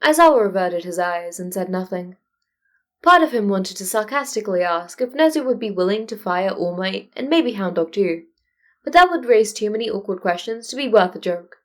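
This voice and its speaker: A woman with a medium-pitched voice narrates a story. She reads in a scripted audiobook style, as the narrator of fan fiction. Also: animated, normal speed.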